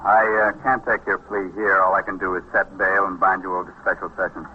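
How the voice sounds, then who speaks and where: A brisk American magistrate, played by a male actor, runs his court in an old radio drama. The voice is deep and quick, official and businesslike. It comes through a dull vintage recording. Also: level tone, slight background noise, lightly acted.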